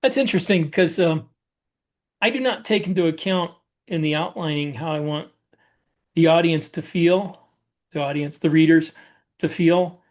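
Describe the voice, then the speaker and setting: A man's voice: a little high, normal speed. A man talking casually as a podcast co-host about his own approach to writing. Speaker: podcast co-host and science fiction author.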